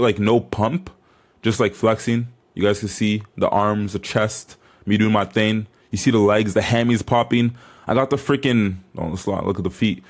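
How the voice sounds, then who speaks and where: A young man vlogging as a bodybuilder, chatting casually to camera in a hyped, informal, slangy way. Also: medium pitch.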